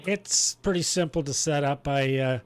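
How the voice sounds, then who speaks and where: A man chatting casually as an amateur radio operator, talking in a halting, chatty way with throat clearing. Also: a little high. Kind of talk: casual ham radio chat.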